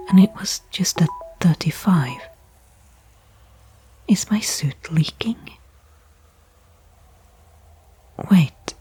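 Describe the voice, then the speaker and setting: A woman playing a weary stranded astronaut in a whispered ASMR sci-fi roleplay, breathy and hushed, thinking aloud with pauses between thoughts. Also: deep voice, slight background noise. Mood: anxious, resigned. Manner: slow, soliloquizing, pausing between thoughts. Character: weary stranded female astronaut, anxious, faintly hopeful.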